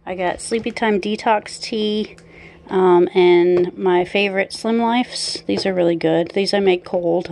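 A woman in her thirties chatting casually as she walks through her groceries in her kitchen at home, with plenty of ums and quick self-corrections. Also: lowish voice, dark tone. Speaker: vlogger and mother. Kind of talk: casual grocery haul walkthrough.

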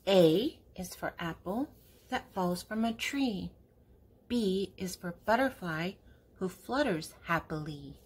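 Older female host for toddler storytime, slightly rough-edged voice, unhurried delivery, simple phrasing. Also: lowish voice, expressive. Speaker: children's book reader and channel host. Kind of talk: read-aloud of a children's picture book.

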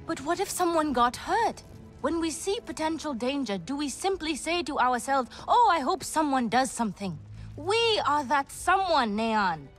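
An impassioned alien in a video game. Her high voice is lively and urgent, pressing each point like a challenge. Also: normal speed, soft background music. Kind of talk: video game cutscene acting.

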